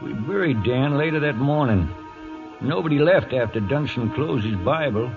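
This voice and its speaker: A man's voice: medium pitch, animated, normal speed, lightly acted. A man acting a plainspoken old trail hand tells a radio western in a rustic American Western drawl. His voice swings widely and dramatically over music, in a dull vintage broadcast. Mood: grave, dramatic.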